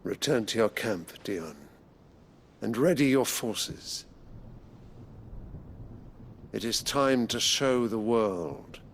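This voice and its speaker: A grandiose, deep-voiced aging emperor. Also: male voice, lively intonation, slight background noise. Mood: grandiose, commanding. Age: older. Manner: grand, measured, pompous oratory. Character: imperious aging abdicated emperor father, zealous and grandiose. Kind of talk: dramatic video game cutscene acting.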